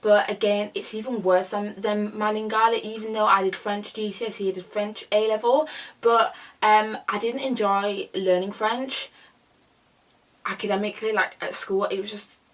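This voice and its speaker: A woman's voice: medium pitch, steady tone, normal speed. A young woman from a Congolese family, chatting casually through a Q&A vlog, rambling and restarting her sentences. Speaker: YouTuber.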